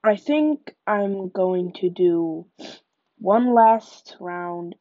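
Slow-talking young woman with a cold. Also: mid-range voice, dry recording, narrow, tinny audio. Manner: chatty, narrates each move. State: nasal from a cold. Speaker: gaming podcaster. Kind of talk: live gameplay commentary.

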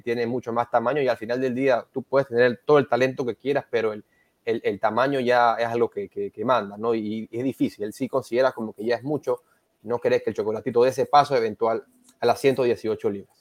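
A man's voice: mid-range voice, fairly even intonation. A man co-hosting a boxing interview, summarizing the guest's answers and asking questions in two languages. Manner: summarizing, brisk. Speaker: co-host. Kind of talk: bilingual interview, translating.

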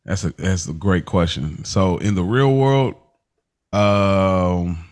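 A man co-hosting a casual podcast, rambling and animated as he acts out scenes from the show. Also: deep voice, slow pace. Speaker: podcast co-host. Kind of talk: casual chat.

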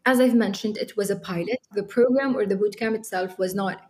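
A woman's voice: mid-range voice, fairly quick, darkish tone. A young woman from Libya, talking as an interview guest, hesitant with many ums and restarts. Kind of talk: casual interview talk.

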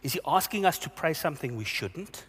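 A man's voice: on the high side, animated, a little fast, a bit bright, husky, big-room sound. A male pastor giving a sermon, earnest and questioning, repeating himself for emphasis.

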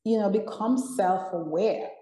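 A woman's voice: mid-range voice, measured pace, dark tone, reverberant. A woman born in Jamaica, speaking earnestly as an interview guest. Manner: earnest, repeats herself, fillers like "you know". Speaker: guest, women's mentor. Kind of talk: conversational interview.

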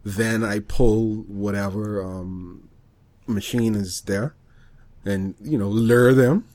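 A man co-hosting a podcast, chatting casually about video games and explaining things in an informal, halting way. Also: fairly low pitch, fairly even intonation, a little mellow. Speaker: podcast co-host.

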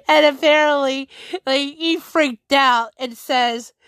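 A woman talking casually to her viewers in a slightly high voice. She speaks slowly, rambles and keeps correcting herself. The sound is dry and close. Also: fairly bright tone, dry recording. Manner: rambling, chatty, self-correcting.

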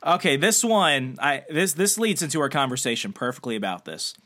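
A man's voice: a little high, very animated, pushed and bright. A man co-hosting a podcast in casual chat, blunt and joking as he trades quips with his co-host. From Puerto Rican family. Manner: blunt, joking, quick to banter.

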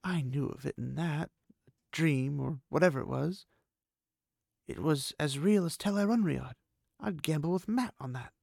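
A man's voice: fairly high pitch, a little slow, gravelly, long pauses, darkish tone, dry recording, studio quality, pitched above the performer's own voice. A man voicing a thoughtful young woman channeler with a musing, curious manner.

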